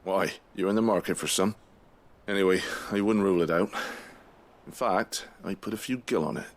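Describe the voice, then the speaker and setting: An actor playing a gruff, grumbling town guard in a video game, blunt and sardonic. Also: male voice, low voice, moderate pace. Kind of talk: dramatic video game acting. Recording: music under the voice.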